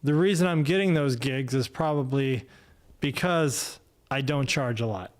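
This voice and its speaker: A man's voice: medium pitch, a little slow. A man in his fifties talking casually on a solo livestream podcast, rambling and self-deprecating, with many fillers and restarts. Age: 50s. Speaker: podcast host. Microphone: desk mic, no headphones.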